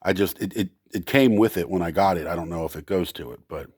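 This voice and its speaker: Man, lowish pitch, casual unscripted demonstration, hesitant and halting, dry close sound. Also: darkish tone, breathy edge, no echo. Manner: hesitant, halting, thinking aloud. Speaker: seller demonstrating used equipment.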